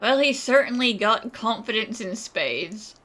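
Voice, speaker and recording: A sassy, easygoing young game character. Her tone is bright and lively in pitch, though she takes her time. She is frank and teasing. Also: unhurried. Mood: wry, unimpressed. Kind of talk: video game voice acting.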